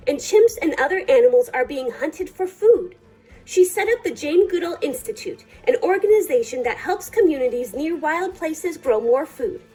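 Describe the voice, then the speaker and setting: Female storytime reader, high and very melodic, soft-toned, deliberate phrasing, faint music underneath. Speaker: librarian reading a picture book aloud. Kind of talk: children's storytime read-aloud.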